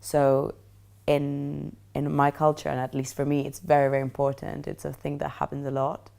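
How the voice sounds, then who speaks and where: A woman in her twenties from Argentina, answering interview questions in an earnest, steady way. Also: sits low, moderate pace, vocal fry. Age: 20s.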